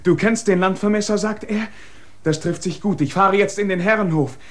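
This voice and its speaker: A man's voice: sits high, lightly acted. A man playing an eager young castle messenger. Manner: breathless, eager, hurried. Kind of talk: German radio drama acting.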